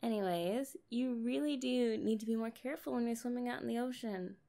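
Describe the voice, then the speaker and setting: High-pitched, bubbly young mermaid played by a woman. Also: creaky. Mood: curious, playful. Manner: playful, chatty, expressive, drawn-out phrasing. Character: kind, bubbly, naive young female mermaid. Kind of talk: ASMR roleplay addressed to the listener.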